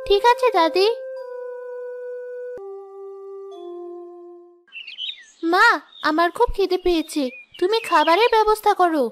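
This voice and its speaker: Woman as a very high-pitched dutiful village girl. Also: a little fast, fairly bright tone. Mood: cheerful, hungry. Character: caring, hard-working poor village girl, dutiful elder sister. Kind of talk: children's moral-story cartoon dubbing. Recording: music behind the voice.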